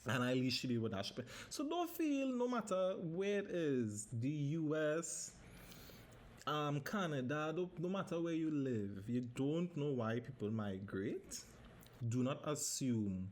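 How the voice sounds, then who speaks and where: A man's voice: fairly high pitch, very animated, unhurried, slight background noise. A man in his thirties from Trinidad with a Trinidadian accent, hosting a casual solo podcast, swearing freely and slipping into Caribbean dialect. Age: 30s. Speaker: podcast host.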